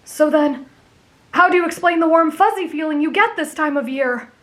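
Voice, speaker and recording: A woman's voice: sits high, moderate pace, a bit bright. A woman voicing a kind, earnest teenage boy with a sincere, reassuring big-brotherly manner, in an anime fan roleplay.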